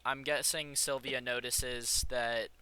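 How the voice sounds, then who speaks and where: A man's voice: mid-range voice, fairly even intonation, takes it slowly, bright, forceful tone, clean tone, dry recording, rough recording. A man running a tabletop game as game master, casual and hesitant.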